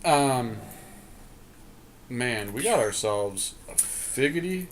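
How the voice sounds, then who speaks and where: A man's voice: mid-range voice, animated, slow, a little hiss or hum. A man co-hosting a podcast, chatting casually and thinking aloud in informal slang as he talks through a card game draft. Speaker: podcast co-host.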